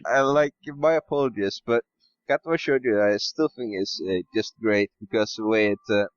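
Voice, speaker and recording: A man's voice: lowish voice, fairly even intonation, moderate pace, dry recording. A man giving blunt, casual opinions as a podcast guest, over a dull voice-call sound.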